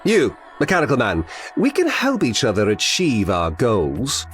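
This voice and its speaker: An actor playing a smug, scheming Asgardian trickster god in a video game, theatrical and mockingly courteous. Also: male voice, mid-range voice, wildly swooping pitch, measured pace, fairly bright tone, faint music behind. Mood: smug, teasing. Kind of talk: video game character voice lines.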